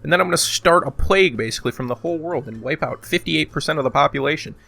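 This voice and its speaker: A man's voice: a little high, quick pace, gravelly, bright, forceful tone, faint music behind. A man casually hosting a gaming countdown video, talking in a joking, exaggerating way with some swearing. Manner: belts out game voice lines. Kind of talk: casual top-ten countdown commentary.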